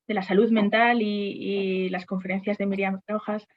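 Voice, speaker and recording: A woman's voice: medium pitch, flat delivery, normal speed, lo-fi sound. A woman on a webinar panel discussing HR topics, speaking hesitantly with frequent restarts and fillers.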